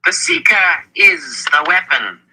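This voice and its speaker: Old wizard mentor for a fantasy audiobook male reader: high, husky, animated, fatherly and emphatic. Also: a little slow, full character voice. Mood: forceful. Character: old wizard mentor, grave yet affectionate and proud.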